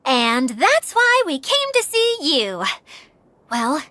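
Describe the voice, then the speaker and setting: Perky, earnest young girl game character, very high pitch, bouncy intonation. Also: slow, slight background noise, full character voice. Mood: friendly, chatty. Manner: perky, expressive. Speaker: voice actor. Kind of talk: animated game dialogue.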